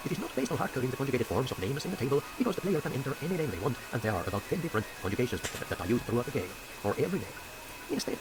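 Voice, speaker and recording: A man's voice: mid-range voice, background noise. A man with a Finnish accent, a programmer narrating a scripted technical tutorial in a careful, explanatory way, sometimes catching on a word. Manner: careful, explanatory, stumbles on words. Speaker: programmer presenting a video.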